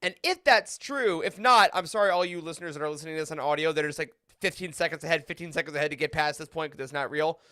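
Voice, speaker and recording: A man chatting casually as a podcast panelist, animated and slangy in a fan roundtable discussion. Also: on the high side, fast talker, hardly any pauses, dry recording, clean studio sound.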